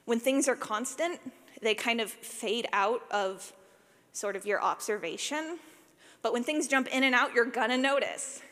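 Woman giving a casual talk, bright voice, kinda jokey and animated, big echoey hall. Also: a little high, unhurried. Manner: animated, self-deprecating, jokey, says "like" often. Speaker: speaker, sound designer for audio fiction. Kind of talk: informal conference talk with audience questions. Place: large reverberant room, live talk. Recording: reverberant hall sound.

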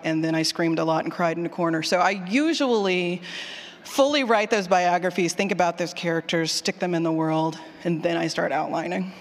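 A speaker giving an informal conference talk on writing, with animated asides and questions repeated back to the audience. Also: medium pitch. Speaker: presenter, fiction podcast writer. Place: conference session room. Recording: hall with long reverb.

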